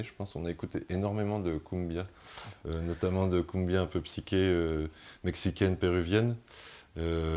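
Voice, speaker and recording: Deep-voiced man explaining thoughtfully, muffled sound. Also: darkish tone. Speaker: band musician being interviewed. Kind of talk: relaxed interview conversation.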